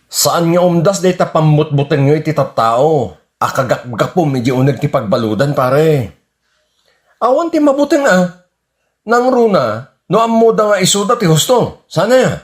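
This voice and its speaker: A frank Ilocano buddy, acted by a man with a notably high male voice. The delivery is chiding and matter-of-fact, in radio comedy drama style. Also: sing-song, moderate pace. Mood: exasperated.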